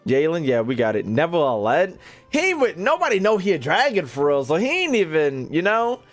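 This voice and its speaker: A man's voice: fairly high pitch, sing-song, brisk, soft background music. A young American man giving casual, joking, slangy gameplay commentary as a streamer talking to his chat. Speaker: gaming streamer.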